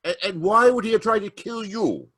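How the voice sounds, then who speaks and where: A man's voice: high-pitched, normal speed, fairly bright tone, no echo, studio quality. A man playing a flustered, stammering office owner in a 1940s-style radio drama. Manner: hesitant, stammering.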